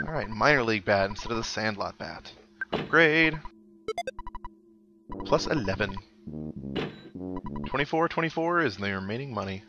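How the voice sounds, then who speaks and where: A man with a fairly low voice hosts a casual let's-play over prominent synth game music. His delivery is wry and sarcastic as he plays and reads game text aloud, and the recording is slightly dull and lo-fi. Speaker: gaming show host, player. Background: synthesized video game music.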